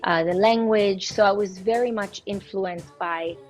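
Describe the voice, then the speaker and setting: A young woman from Israel, a singer, answering interview questions in a warm, proud, flowing way. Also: mid-range voice, measured pace, faint music behind, rough recording. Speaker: guest singer. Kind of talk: casual interview chat.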